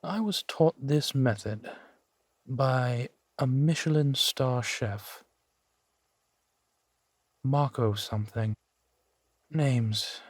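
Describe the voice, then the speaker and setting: A man's voice: medium pitch, darkish tone, no room sound. A man voicing a suave, genteel vampire centuries old, speaking unhurriedly and formally to the listener in an intimate audio roleplay. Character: suave male vampire, four centuries old, genteel, playfully amused host.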